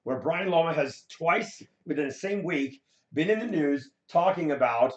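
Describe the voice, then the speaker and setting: A hoarse man chats through news clips, animated and quick to laugh or swear. His voice sits a little high. The room adds a noticeable echo around him. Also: lo-fi sound. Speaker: co-host. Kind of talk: casual chat about news clips. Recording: roomy echo.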